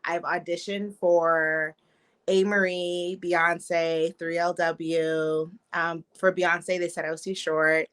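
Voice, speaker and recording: Bright-toned chatty woman guest. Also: fairly low pitch, slow, clear, resonant tone. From Trinidad, raised in Canada and the US. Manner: lively, laughing, chatty. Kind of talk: casual interview chat. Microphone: video call.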